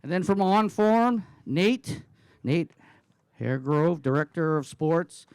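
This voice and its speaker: A man's voice: fairly high pitch, expressive, slow pace, a little mellow, clear, resonant tone, dry recording, clean studio sound. A man moderating a live panel at a coaching summit, often stumbling and repeating words as he introduces guests. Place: conference room.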